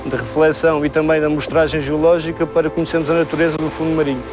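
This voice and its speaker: Higher-voiced Portuguese man explaining, music behind. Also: flat delivery, fast talker. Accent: European Portuguese. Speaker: marine survey expert being interviewed. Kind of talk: explanatory interview answers.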